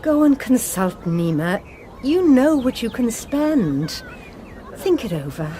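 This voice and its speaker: Stern nun superior, crisp, reproving, animated pitch, faint hiss. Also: female voice, slow pace. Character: firm, proud nun superior.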